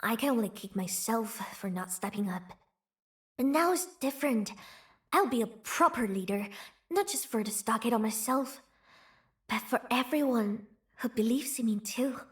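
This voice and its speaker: A woman voicing a young, playful, proud feline girl who leads her village, teasing and quick to laugh, for a video game. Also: on the high side, animated, close to an everyday voice. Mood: earnest. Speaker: game character voice.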